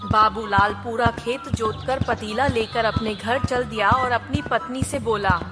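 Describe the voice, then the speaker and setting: A woman's voice: fairly high pitch, fairly even intonation, measured pace, loud music behind the voice. A woman narrating a scripted children's moral story as the storyteller.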